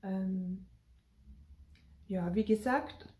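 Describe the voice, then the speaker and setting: A woman speaks slowly and thoughtfully, pausing on the odd filler. Her voice is soft and mellow, with the echo of a roomy space behind it. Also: mid-range voice. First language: German. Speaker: mother sharing family experience. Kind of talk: casual explanatory talk to camera.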